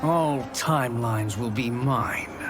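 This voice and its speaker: An actor playing a menacing, arrogant villain in a video game, theatrical and gloating. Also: male voice, medium pitch, takes it slowly, heavy background noise, natural voice. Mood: gloating menace. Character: menacing, arrogant male villain seeking to rule timelines. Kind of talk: video game cutscene acting.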